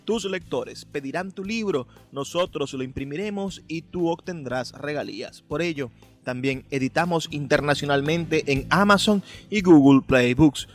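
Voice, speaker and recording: A man's voice: fairly high pitch, normal speed, faint music behind. A man reading scripted promotional narration as an advertisement announcer.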